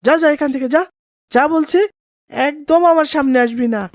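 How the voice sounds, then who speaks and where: Woman acting scornful cartoon schoolboy, rude big brother, high voice, dry close sound. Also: moderate pace, darkish tone, no room sound, natural voice. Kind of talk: children's moral cartoon voice acting.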